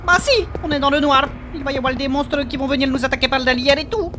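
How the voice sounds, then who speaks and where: A man's voice: extremely high pitch, fairly even intonation, quick pace, sharp tone, slight background noise. A man playing a cowardly, grumbling adventurer in a comic fantasy audio drama, with a nervous, hesitant, slangy delivery. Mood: fearful.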